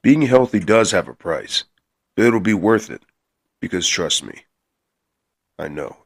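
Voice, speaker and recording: A man's voice: low voice, big pitch swings, a little slow, gravelly, husky, heavily acted. A man voicing a big, brash, hot-headed and shameless gorilla in a scripted comedy cartoon. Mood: easygoing. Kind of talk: scripted comic cartoon dialogue.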